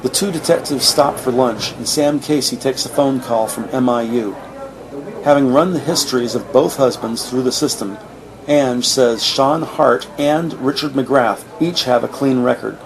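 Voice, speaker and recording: A man's voice: mid-range voice, a little slow, crowd noise, low-quality recording. A man reading scripted descriptive narration for an audio drama.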